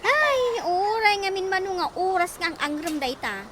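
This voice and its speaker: A woman's voice: extremely high pitch, big pitch swings, moderate pace. A woman in an Ilocano radio comedy drama, chatty and quizzical. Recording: noisy background hiss.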